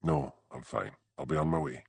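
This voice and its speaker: A man playing a dry, stubborn village policeman with a Scottish Highland accent, in an audiobook. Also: normal speed, gravelly, darkish tone, breathy edge, dry recording, heavily acted. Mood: resigned. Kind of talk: audiobook character dialogue.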